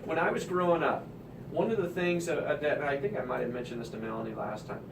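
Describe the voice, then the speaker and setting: A man's voice: mid-range voice, fast talker, vocal fry, roomy sound, recorded from a distance, background noise. A man from Kansas City hosting a talk show and interviewing seniors, chatty and rambling with frequent restarts. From Midwest, Kansas City. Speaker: radio show host, mortgage broker. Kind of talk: casual interview chat.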